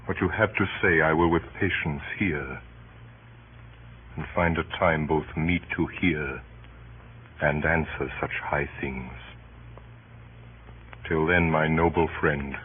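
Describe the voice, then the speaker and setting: An actor playing an honorable, troubled Roman nobleman with solemn, measured delivery in radio Shakespeare. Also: a bass voice, steady tone. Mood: grave, reserved. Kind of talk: 1930s radio Shakespeare acting. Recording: tinny, hissy old radio recording.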